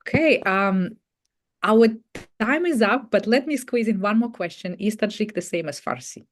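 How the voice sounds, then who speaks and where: A webinar moderator posing questions and closing the session, halting with many "uh"s, heard over a video call. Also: mid-range voice, lively intonation. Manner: halting, many "uh"s, frequent murmured backchannels. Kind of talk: hosting a webinar Q&A.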